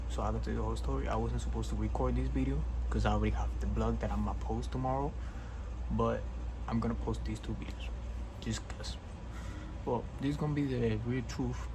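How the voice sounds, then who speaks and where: A young man of about twenty with a gravelly, frying edge to a mid-pitched voice. He talks in blunt, slangy, earnest fashion, like a motivational vlogger addressing viewers directly. Clear noise, with vehicle sounds, sits behind him. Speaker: vlogger and real estate entrepreneur. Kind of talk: casual motivational vlog monologue.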